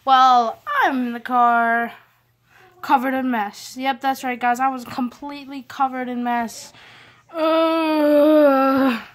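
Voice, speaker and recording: A woman's voice: high voice, fairly even intonation, takes it slowly. A teenage girl hosting a casual vlog, telling car-trip stories over her drawings in a rambling, self-mocking way, recorded on a handheld phone. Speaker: vlog host.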